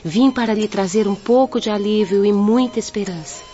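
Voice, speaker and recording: A woman plays a gentle medium in a radio drama, soothing and encouraging. Her voice is soft-toned and mellow, over faint music in a slightly dull recording. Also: medium pitch, normal speed, close to an everyday voice. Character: gentle female medium consoling the sick. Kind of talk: scripted radio drama acting. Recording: muffled audio, faint music.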